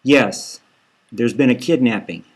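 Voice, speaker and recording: A man's voice: mid-range voice, a little slow, slightly rough. Man acting uneasy, reluctant regular-guy informant. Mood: uneasy, accusing. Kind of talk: dark satirical audio novel dialogue.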